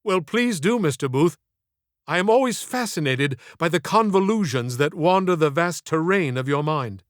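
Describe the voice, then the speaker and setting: A man's voice: on the high side, lively intonation, moderate pace, no room sound, clean studio sound, lightly acted. A man voicing a devoted poet in an audiobook, courteous, deferential and flowery in his speech. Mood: fond, courteous. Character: devoted male poet friend, courteous, gently admiring and florid. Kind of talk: audiobook character dialogue.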